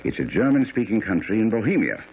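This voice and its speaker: An actor playing a brilliant, self-assured detective, explaining precisely and didactically in a radio drama. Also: male voice, fairly low pitch, very animated, quick pace. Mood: keen, instructive. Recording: muffled, noisy old radio recording.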